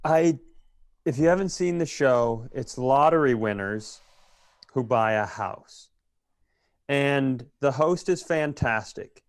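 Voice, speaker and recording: A man's voice: medium pitch, slow, clear, resonant tone, no room sound. Exasperated, foul-mouthed man on a dry mic. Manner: exasperated, profane. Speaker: comedy podcast host. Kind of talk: casual comedic rant.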